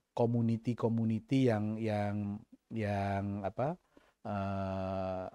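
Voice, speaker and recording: A middle-aged man with a faint East Javanese accent speaks in a low, mellow voice. He answers reflectively, with frequent fillers and restarts, as a podcast guest. The sound is dry and close, with no room around it. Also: no room sound. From East Java, Indonesia. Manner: thoughtful, hesitant, many fillers and restarts. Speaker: guest, senior finance official. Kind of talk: podcast interview chat.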